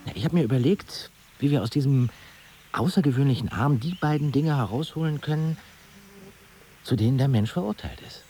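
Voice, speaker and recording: An actor playing an enthusiastic, persuasive young student with a casual, slangy way of talking in a radio drama. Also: male voice, mid-range voice, brisk, warm tone, lightly acted. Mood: eager, persuasive. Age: young adult. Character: enthusiastic scheming young student friend turned would-be manager. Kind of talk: naturalistic German radio drama dialogue.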